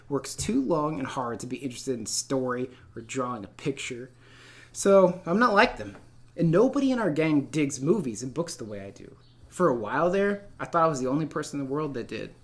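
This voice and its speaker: A man teaching literature in a read-aloud lesson, speaking in an expressive, informal and encouraging way. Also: on the high side. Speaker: middle school literature teacher. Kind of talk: read-aloud lesson, narrating a novel and addressing students.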